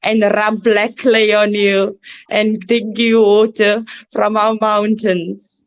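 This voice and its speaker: A woman with a South African Cape Flats accent, a guest speaking in a slow, declaiming, preacherly way. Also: mid-range voice, lo-fi sound. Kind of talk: impassioned online discussion.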